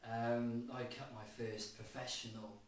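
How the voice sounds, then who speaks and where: A middle-aged man from Cardiff, answering interview questions in a halting way, often repeating words and restarting. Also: mid-range voice, flat delivery. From Cardiff, Wales. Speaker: guitarist and producer. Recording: distant, very echoey room with faint noise.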